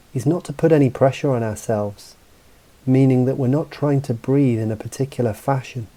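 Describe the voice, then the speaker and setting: A man guiding a mindfulness meditation as a coach, speaking in a calm, measured, instructive way with pauses between phrases. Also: medium pitch. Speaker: meditation guide and mental coach. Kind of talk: guided mindfulness meditation narration.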